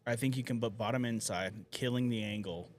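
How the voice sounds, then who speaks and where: A man's voice: medium pitch, brisk. A man co-commentating a live pool match, chatty and opinionated, often restarting his sentences.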